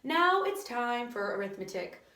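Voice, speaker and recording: A woman's voice: fairly high pitch, big pitch swings, measured pace, pushed and bright, reverberant, exaggerated voice. A woman voicing a stern, strict female teacher in a storybook reading.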